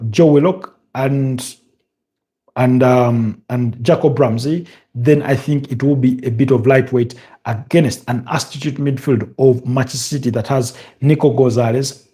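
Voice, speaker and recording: Hesitant Ugandan man, mellow voice. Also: medium pitch. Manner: halting, many fillers and restarts. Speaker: football preview host. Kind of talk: casual live-stream football talk.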